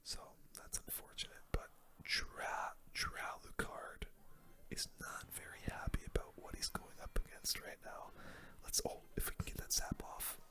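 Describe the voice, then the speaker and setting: Whispering ASMR gamer. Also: a little slow. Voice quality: soft unvoiced whisper. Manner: casual, slangy running commentary. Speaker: gaming video host. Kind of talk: whispered ASMR gaming commentary.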